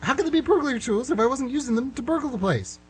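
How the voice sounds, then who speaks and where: A man's voice: extremely high pitch, slight background noise. A man acting a flustered, middle-aged guy who nervously rambles and corrects himself in an amateur radio drama. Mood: defensive. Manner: nervous, halting, self-correcting, excitable. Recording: slightly rough amateur radio recording.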